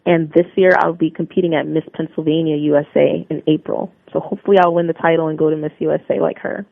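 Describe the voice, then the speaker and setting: A woman's voice: low voice, fairly even intonation, quick pace, gravelly, dry recording. A young woman from New Jersey, a guest on a radio interview, answering in an upbeat, earnest and polished way. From New Jersey, USA. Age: young adult. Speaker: interview guest, Army Reserve drill sergeant. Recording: narrow phone-line sound, dry.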